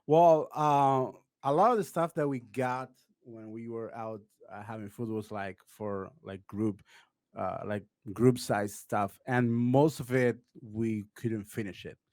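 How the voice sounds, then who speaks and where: A man's voice: mid-range voice, fairly even intonation, slow, darkish tone, dry recording, studio quality. A man from Uruguay, a podcast guest chatting casually, rambling with plenty of "like" and "uh". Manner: rambling, heavy on "like" and "uh" fillers.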